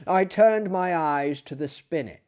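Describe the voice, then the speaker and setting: A man's voice: fairly high pitch, a little slow. Theatrical man narrating a story. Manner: theatrical, hushed phrase endings. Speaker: narrator and performer. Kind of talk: dramatic audiobook narration.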